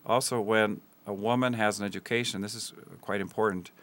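A man's voice: fairly low pitch, steady tone, fairly quick, fairly bright tone. A man who grew up in Tanzania, answering interview questions earnestly with frequent ums and uhs. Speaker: guest, school builder.

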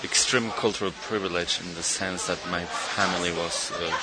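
A middle-aged man from Argentina, a guest artist in a radio interview, speaking thoughtfully with frequent pauses and fillers. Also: deep voice, steady tone, heavy background noise. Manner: thoughtful, hesitant with many fillers.

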